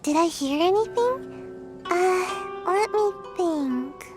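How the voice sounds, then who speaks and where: Little girl game character, very high voice, lively and swooping, naive, eager, game music behind. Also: slow pace, exaggerated voice. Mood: puzzled. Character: excitable childlike little girl, naive, eager and sleepy-headed. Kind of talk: English video game voice acting. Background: video game music.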